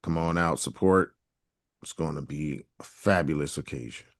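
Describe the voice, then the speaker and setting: A laid-back man, co-hosting a podcast. His voice sits very low and he keeps his answers short and easy. The sound is close and dry. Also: normal speed, no room sound. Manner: laid-back, brief replies. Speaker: podcast co-host. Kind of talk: casual podcast chat.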